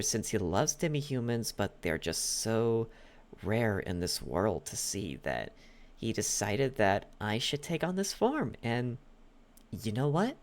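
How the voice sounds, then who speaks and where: A performer playing a clingy, possessive yandere demon butler in an intimate ASMR roleplay, coaxing in halting, repeated words that slip into breathy whispers. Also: mid-range voice, a little mellow.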